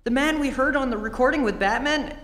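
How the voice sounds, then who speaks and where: A woman's voice: sits high, brisk, fairly bright tone. A woman playing an earnest, pleading teenage boy sidekick in 1940s radio-play style. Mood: earnest, puzzled. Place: theatre stage before an audience. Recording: echoey hall, live stage performance.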